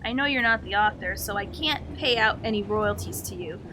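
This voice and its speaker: A woman's voice: medium pitch, brisk, pushed and bright, a little hiss or hum, lightly acted. A woman voicing a genial, earnest, book-loving publisher in a video game. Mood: wistful, genial.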